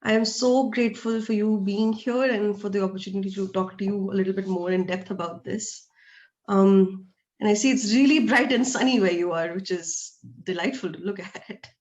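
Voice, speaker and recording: A woman hosting an interview over a video call, asking questions gently and restarting her sentences as she searches for words. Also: mid-range voice, moderate pace, rough recording. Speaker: host, interviewer. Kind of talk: warm, gently hesitant interviewing.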